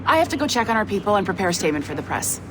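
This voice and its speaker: A woman's voice: mid-range voice, sing-song, very fast, noisy background, close to an everyday voice. Woman acting a chipper, perky office boss. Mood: forced cheer. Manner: perky, pep-talking. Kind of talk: video game voice acting.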